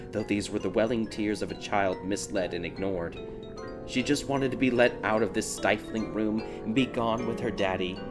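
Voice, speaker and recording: A man narrating a dark fairy tale audiobook in a dramatic, scripted storytelling style. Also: lowish voice. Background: background music.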